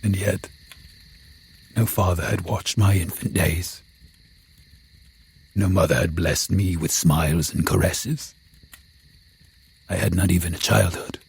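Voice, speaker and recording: An actor playing a lonely, eloquent man-made creature, telling his tale in a solemn, brooding audio drama voice with a gravelly, husky edge. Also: deep voice, fairly even intonation, slow, long pauses, a little hiss or hum, full character voice. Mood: anguished, brooding. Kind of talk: dramatic first-person audio drama narration.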